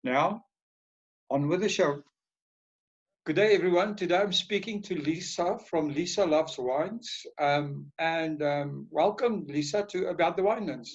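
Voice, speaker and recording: A man's voice: fairly high pitch, unhurried, husky, muffled recording. A man hosting a show, reading an announcer-style intro and then interviewing a guest. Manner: announcer-like, reads lists. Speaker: show host.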